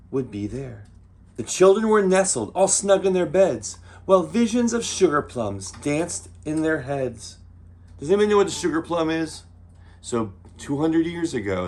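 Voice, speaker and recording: A man hosting a read-aloud for children, reading expressively and adding playful, teacherly asides and questions. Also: mid-range voice. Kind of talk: storybook read-aloud with asides to young listeners.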